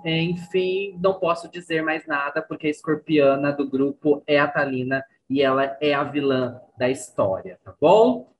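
A woman's voice: low voice, slight background noise, low-quality recording. A woman hosting a show, presenting casually and talking directly to listeners. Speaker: show host.

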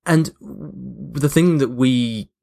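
A man's voice: medium pitch, very slow, gravelly, a little mellow. Male podcast host, casual solo talk, mid-range voice, reflective with emphatic points. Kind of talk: casual chatty monologue to listeners.

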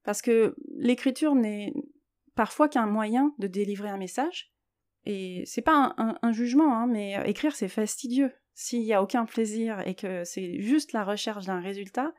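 A woman talks by herself as a podcast host, in a mid-pitched, mellow voice. She is reflective and a little hesitant, working out her thoughts aloud as she goes. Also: normal speed. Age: 30s or older. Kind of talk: reflective solo podcast talk on writing.

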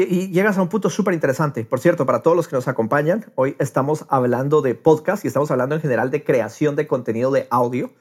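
A man's voice: mid-range voice, a little mellow. A man hosting a podcast in a casual conversational style, now and then dropping in English words. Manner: drops English words into speech. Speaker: podcast host.

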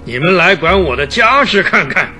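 Villain role: brutish man near sixty, bellowing and threatening, high forceful sharp voice, radio drama. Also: big pitch swings, moderate pace, faint music behind, full character voice. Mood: menacing. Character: huge, brutish, hot-tempered doctor near sixty.

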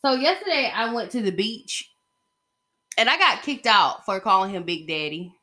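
A woman's voice: medium pitch, animated, moderate pace, bright, forceful tone. An American woman in her thirties chatting casually alone as a podcast host, rambling in a sassy, slangy way. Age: 30s. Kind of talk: casual solo podcast chat.

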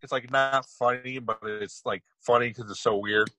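A man's voice: medium pitch, fairly even intonation, normal speed, sharp tone, dry recording, low-quality recording. A man in his thirties bantering and rambling jokingly on a remote call. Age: 30s. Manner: joking, rambling, playfully boastful. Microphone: remote call, signal cutting out.

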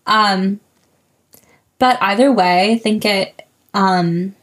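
A woman in her twenties chats on a podcast in an ordinary mid-range pitch. She keeps the talk playful and upbeat as a co-host. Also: slow, fairly bright tone. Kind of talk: casual podcast chat.

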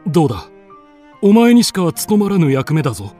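An actor playing a dignified, lovestruck duke in a radio drama, speaking in a lordly, formal, theatrical and earnest way. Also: male voice, on the high side, big pitch swings, a little fast, warm tone, faint music behind. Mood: imploring.

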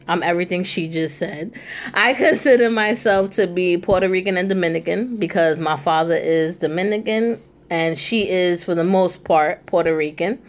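A young woman hosting a casual chat, talking loosely with many asides and fillers. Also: fairly low pitch. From New York. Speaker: host.

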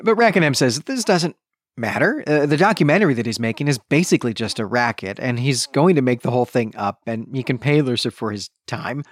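A man hosting a podcast, giving an explanatory book commentary in a deliberate, emphatic way, often rephrasing himself for precision. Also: mid-range voice, expressive, no room sound. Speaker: podcast host.

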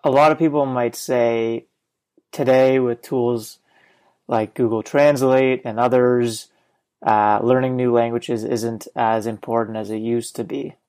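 Male interviewer, even monotone delivery, measured questions, mid pitch. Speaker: interview host.

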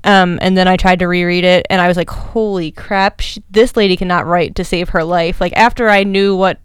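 Woman, 30, podcast cohost, super crisp clear voice, dry sound, blunt and chatty. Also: fairly low pitch, fairly even intonation, no echo. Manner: candid, emphatic, quick asides. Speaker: podcast co-host. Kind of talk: casual chat.

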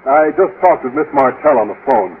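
An actor playing a bashful, naive schoolteacher with a formal, earnest manner in an American radio comedy from the 1950s. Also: male voice, mid-range voice, lively intonation, fairly quick, close to an everyday voice. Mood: hesitant. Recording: dull, faintly noisy old radio recording.

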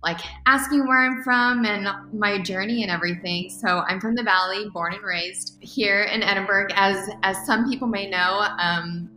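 Young woman guest on a podcast, chatty and rambly, bright punchy voice, echoey room. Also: fairly low pitch. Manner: chatty, upbeat, rambling with fillers. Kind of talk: casual interview answers. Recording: roomy echo with faint music.

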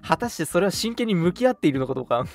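A man's voice: high-pitched, big pitch swings, very fast, soft background music. A man in his twenties co-hosting a radio show in casual banter with a friend. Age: 20s.

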